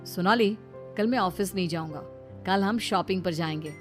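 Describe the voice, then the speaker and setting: A woman voicing a callous young husband in a cartoon, brisk and dismissive, doting on his new wife. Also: on the low side, over music, close to an everyday voice. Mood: eager.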